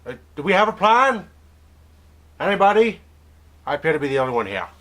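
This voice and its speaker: Male host, mock-formal put-on accent, rambling, lively pitch. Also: a little fast. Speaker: interviewer. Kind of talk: comedic webcam interview.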